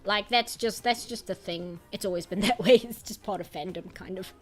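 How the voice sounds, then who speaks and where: A woman's voice: medium pitch, normal speed, soft background music. A woman from South Africa with a South African accent, whose first language is Afrikaans, chatting casually on a live art stream, rambling with restarts and frequent laughs. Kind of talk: casual live-stream chat, reading chat aloud.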